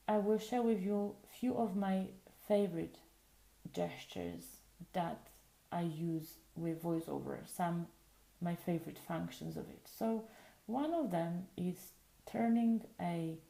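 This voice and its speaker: A woman whose first language is Polish, calmly explaining phone functions step by step in a patient way. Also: fairly low pitch, slow pace, vocal fry, echoey room, rough recording. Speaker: tutorial presenter. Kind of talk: casual spoken tutorial. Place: indoors at a table.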